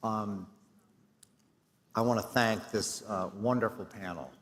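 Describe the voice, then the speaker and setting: A middle-aged man, hoarse and losing his voice, giving host remarks and thanks at a conference with a self-deprecating, filler-heavy manner. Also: lowish voice, takes it slowly, fairly bright tone, a little hiss or hum. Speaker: executive director. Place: large conference hall. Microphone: stage mic. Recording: long hall reverb.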